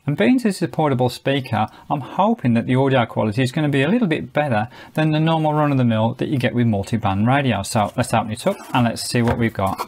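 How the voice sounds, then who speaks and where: A man's voice: medium pitch, lively intonation, fast talker, few pauses, a little mellow. A man giving a casual, chatty product demonstration, explaining things step by step as a reviewer. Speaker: gadget reviewer.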